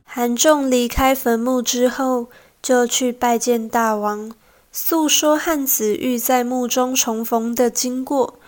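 A woman's voice: fairly high pitch, steady tone, slow. A young woman reading scripted narration for a student radio drama. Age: young adult.

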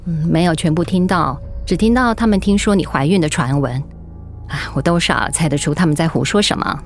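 An actress playing an authoritative female general manager in a radio drama, composed, deliberate and pointed. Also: low-pitched, soft background music. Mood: reassuring, frank.

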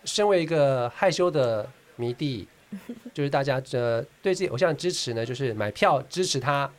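A woman's voice: a bass voice, expressive, moderate pace. A woman with a Taiwanese accent co-hosting a casual podcast chat. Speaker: podcast co-host. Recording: clear background noise.

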